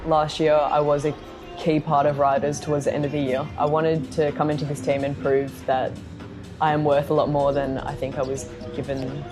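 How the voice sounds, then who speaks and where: A young woman with an Australian accent, a basketball player talking casually about herself in an interview. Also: sits low. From born in London, raised in Singapore and Australia. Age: young adult. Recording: music behind the voice. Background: music.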